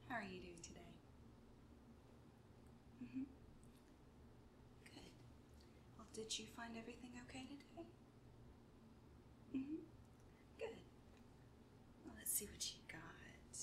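Soft-spoken ASMR woman, distant and noisy. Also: on the high side, lively intonation, slow, pauses often. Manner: hushed, gentle, often slipping into whisper. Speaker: ASMR role-player as a beauty shop checkout clerk. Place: small room indoors at a table. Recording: roomy, distant mic, loud background noise.

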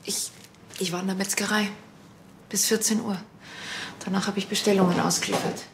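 An actress playing a defensive, halting widow who runs a butcher's shop. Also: female voice, medium pitch, level tone, vocal fry, slight background noise, lightly acted. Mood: defensive, upset.

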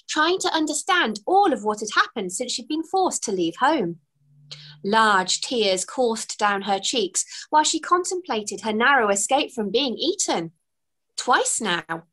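A woman, an author reading her children's book aloud expressively, over a webcam from a home room. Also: a little high. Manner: expressive, storytelling. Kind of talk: children's read-aloud narration. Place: home room with bookshelves, indoors.

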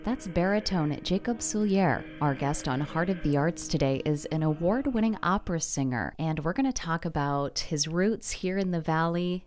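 A woman's voice: fairly low pitch, a little mellow. A woman hosting a radio arts interview show, talking in a friendly, enthusiastic way. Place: radio studio.

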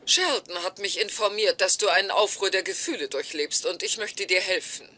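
A woman's voice: mid-range voice, normal speed, sharp tone. An actress playing a cold, analytical older mother in a dubbed sitcom. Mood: coolly critical.

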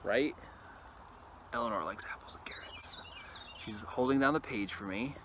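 A man reading a picture book aloud expressively to young listeners, with casual, quieter asides, recorded outdoors. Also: medium pitch, lively intonation, normal speed. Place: outdoors in an open field. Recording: noisy outdoor recording with ambient sound.

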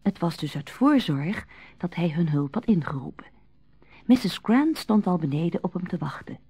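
A woman narrating a Dutch radio drama from a script in a soft, husky voice. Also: fairly low pitch, animated, moderate pace, faint music behind.

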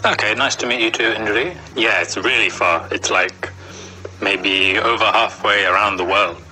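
Bright-voiced young man. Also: on the low side, moderate pace, faint music behind, rough recording. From Wales. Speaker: interviewee. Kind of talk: voice message replies.

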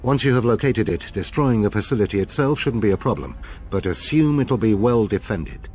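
An actor playing a calm, methodical military commander, giving a measured, authoritative game mission briefing. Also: male voice, on the low side, soft background music, close to an everyday voice. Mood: calm, instructive.

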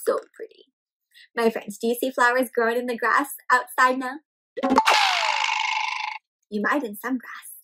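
A young woman reading a picture book aloud to young children in an animated, expressive way, often putting questions to the listeners. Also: on the high side, unhurried, pauses often, heavy background noise. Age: young adult. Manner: animated, questioning, addressing young viewers as friends; exaggerated expressive delivery with gasps and stretched words. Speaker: children's read-aloud storyteller. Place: indoor room with houseplants.

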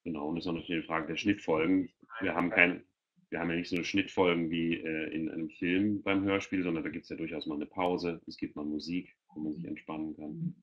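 A man's voice: sits low, little pitch movement, brisk. A middle-aged man talking informally as an expert in an online discussion, speaking into a headset. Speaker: expert panelist. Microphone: headset on a video call. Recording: dull, lo-fi video call audio.

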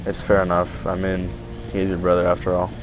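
A man's voice: deep voice, monotone, a little fast, background noise, lightly acted. A man playing a reassuring, hesitant survivor of a zombie apocalypse in an improvised game roleplay. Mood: earnest, reassuring.

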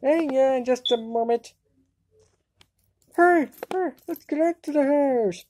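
A woman voicing an excitable, sarcastic anime girl in an amateur cartoon fandub. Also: high voice, a little slow. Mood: excited, alarmed.

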